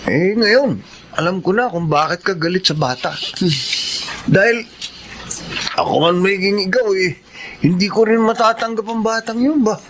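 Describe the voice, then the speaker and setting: Very high-voiced, slangy young buddy. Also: male voice, normal speed, pauses often, a bit bright, a little hiss or hum. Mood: curious, sympathetic. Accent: Filipino. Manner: casual, slangy. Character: young male buddy egging on his angry friend. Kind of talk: radio drama acting.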